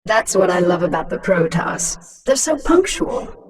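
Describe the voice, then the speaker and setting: A woman's voice: on the low side, sing-song, recorded from a distance, crowd noise. Smug, ruthless alien queen. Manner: smug, mocking, deliberate. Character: ruthless alien hive queen, cunning, gloating, smug and taunting. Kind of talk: dramatic sci-fi game voice acting.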